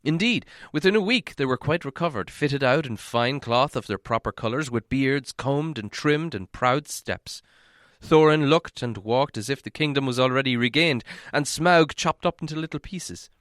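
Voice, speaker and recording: A man reading a fantasy story aloud in expressive scripted narration. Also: medium pitch, moderate pace, no echo, studio quality. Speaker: audiobook reader.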